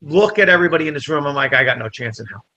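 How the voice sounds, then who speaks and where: A man with a bright, forward voice, pitched a little above the usual for a man. He spins a lively tale and acts out each person in it. Italian was his first language. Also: very fast, lo-fi sound. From New Jersey. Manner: animated storyteller, acts out dialogue. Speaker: comedian guest. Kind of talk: casual storytelling interview.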